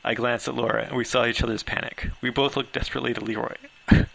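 A man narrates a first-person story in a home recording. His voice is sharp and forceful, with a creaky edge, and his reading is informal and slightly unpolished. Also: medium pitch, fairly even intonation, fast talker, low-quality recording. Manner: stumbles over words, laughs while reading. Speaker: author reading own story aloud.

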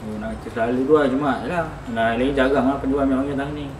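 A young man with a mid-range voice speaks quickly and helpfully as a mosque caretaker. He is recorded outdoors at a mosque in a student film. Loud outdoor ambience and traffic sit under his voice. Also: medium pitch, fairly even intonation. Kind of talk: amateur scripted acting.

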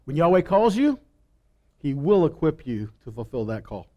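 A man's voice: fairly high pitch, sing-song, normal speed, lo-fi sound. A man in his thirties preaching a sermon on a small indoor stage through a headset mic. He gestures as he talks and reads scripture aloud. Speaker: pastor. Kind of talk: sermon teaching.

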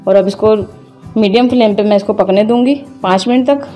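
A woman hosting a home cooking video, calmly explaining each step as she cooks in her kitchen. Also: mid-range voice, fairly quick, soft background music. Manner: calm, methodical, names each step as she works. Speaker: cooking channel host.

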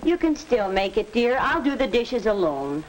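An actress playing an earnest, patient middle-aged American mother in a 1940s educational film, speaking in a measured way. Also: on the high side, big pitch swings. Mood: weary, apologetic. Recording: hissy, noisy 1940s film soundtrack.

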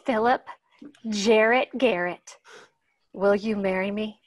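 A woman's voice: medium pitch, fairly even intonation, slow pace, dry recording, lightly acted. A woman reading the part of a blunt, scheming ex-convict at a table read.

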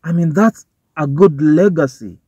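A man's voice: a little high, unhurried, mellow, husky, no echo. Kenyan man ranting in a car. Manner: informal, swearing, switching between English and Swahili slang. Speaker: vlogger. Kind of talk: casual commentary to camera. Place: parked car, indoors. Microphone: phone or camera on the dashboard. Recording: dull, dry car recording.

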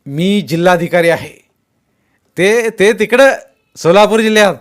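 A man voicing an angry, authoritative district official in an audiobook, shouting firmly and defiantly. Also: high voice, lively intonation, unhurried, voice pushed up. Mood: indignant. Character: indignant, authoritative male district collector standing his ground.

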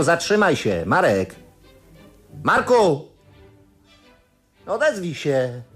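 A man acting in a radio play as a wry young bohemian painter, anxious and plaintive, with colloquial, unhurried speech. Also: high-pitched, full character voice.

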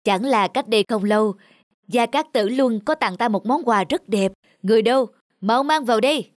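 A woman's voice: on the high side, moderate pace, no room sound, studio quality. A woman performing all the voices of a cartoon folk tale, acted with expression, over music. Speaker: narrator and voice of all characters. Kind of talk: cartoon voice acting. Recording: music behind.

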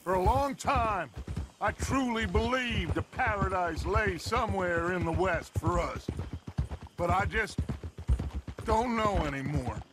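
High-pitched, grandiose American gang boss. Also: male voice, expressive, measured pace. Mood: brooding. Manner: theatrical, authoritative. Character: commanding, grandiose male outlaw gang leader. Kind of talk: video game dramatic acting. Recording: horse hooves and outdoor noise behind.

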